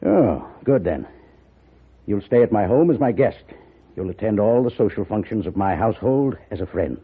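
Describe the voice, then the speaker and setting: An actor playing a proud, determined, wealthy blind father in a 1950s radio western, with a husky voice and formal, commanding speech. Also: lowish voice, lively intonation, normal speed. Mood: resolute. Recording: narrow, muffled old radio recording.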